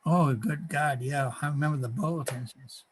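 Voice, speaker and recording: An older man taking part in a workshop, chatting casually over a video call, halting and repeating words with frequent chuckles. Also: a little high, slight background noise. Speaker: workshop participant. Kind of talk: casual chat.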